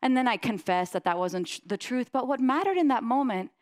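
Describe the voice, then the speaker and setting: A young woman from the Netherlands giving a presentation, telling personal stories in an animated way and laughing often. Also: on the high side, brisk. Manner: animated, laughs often, lively voices in anecdotes.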